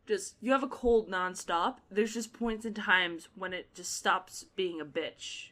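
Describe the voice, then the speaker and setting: A woman's voice: mid-range voice, measured pace, pushed and bright. A woman co-hosting a casual podcast with friends, rambling through her points with lots of "like" and restarts. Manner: rambling, heavy use of "like". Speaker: podcast co-host. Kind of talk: casual chat among friends.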